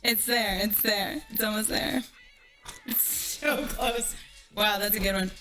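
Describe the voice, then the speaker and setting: A woman livestreamer delivering a tongue-in-cheek monologue to her viewers in a teasing, drawn-out, dramatic way, often dropping toward a whisper. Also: medium pitch, fairly even intonation, bright, forceful tone, soft background music, low-quality recording.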